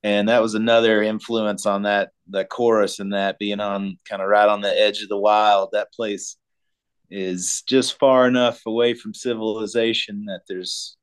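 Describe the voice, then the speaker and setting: Laid-back low-voiced man from Colorado. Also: level tone, smooth. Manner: laid-back, halting, many ums. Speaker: guest singer-songwriter. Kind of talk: casual interview chat.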